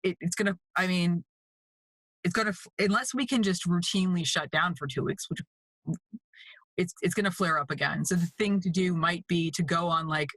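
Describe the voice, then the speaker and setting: A woman's voice: lowish voice, fairly quick, fairly bright tone, no room sound, low-quality recording. A woman from Canada with a Canadian accent, chatting casually as an interview guest. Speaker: guest, mixed media artist.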